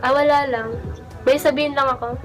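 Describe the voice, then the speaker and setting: A woman's voice: high voice, steady tone, brisk. A young woman with a Filipino accent chatting casually as a vlogger on a phone call. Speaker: vlogger making prank calls. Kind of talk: casual chat. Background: music.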